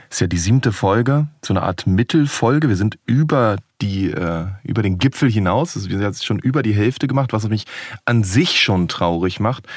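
A man's voice: lowish voice, husky, no room sound. Articulate Berlin man, dry close sound. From Berlin, Germany. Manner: articulate, explains structure, relaxed. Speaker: podcast co-host. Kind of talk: casual podcast conversation.

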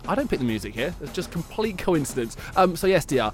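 A young man hosting sports radio in a loose, chatty way, with frequent laughs and jokes at his own expense. His voice sits a little higher than most men's. Faint music plays under him. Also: sing-song, quick pace. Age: late 20s. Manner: chatty, laughs often, self-mocking. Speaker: radio show host. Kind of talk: casual sports radio chat.

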